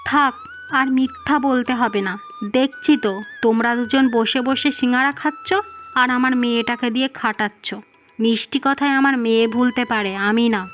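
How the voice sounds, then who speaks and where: A high-voiced, proud middle-aged mother from a cartoon melodrama acted by a woman. She is fiercely protective and emphatic, hammering her words through repetition, with music playing underneath. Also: fairly even intonation, normal speed, fairly bright tone, lightly acted. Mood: indignant, scolding. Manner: scolding, emphatic, repeats words for effect.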